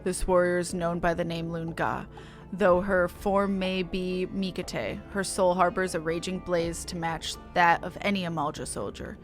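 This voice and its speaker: A woman's voice: fairly low pitch, level tone, faint music behind, pitched below the performer's own voice, natural voice. A woman voicing a stern, proud beastman war leader in a game, speaking solemnly and formally. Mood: grave, solemn. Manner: solemn, slow, formal delivery. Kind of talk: reading game dialogue in character.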